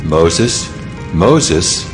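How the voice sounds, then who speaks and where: Male actor as almighty deity: low, solemn, authoritative, huge reverb, music beneath, biblical audio drama. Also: lively intonation, extremely slow, exaggerated voice. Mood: solemn, commanding. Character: God, solemn commanding divine male voice. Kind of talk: dramatized scripture reading. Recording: long cavernous reverb.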